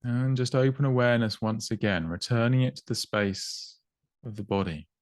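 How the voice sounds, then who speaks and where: A man guiding a body scan meditation in an unhurried, gently instructive way, with long pauses between short phrases. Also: mid-range voice, no echo, clean studio sound. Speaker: meditation guide.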